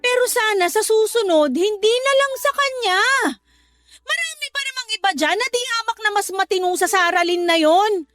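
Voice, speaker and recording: A sharp-tongued, very high-voiced younger sister. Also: female voice, expressive, normal speed, slight background noise. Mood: reassuring. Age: young adult. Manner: blunt, scolding, then tender and reassuring. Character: outspoken loyal younger sister, young woman. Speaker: younger sister and letter sender. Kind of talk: radio drama acting and letter narration. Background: soft music under dialogue, louder music under narration.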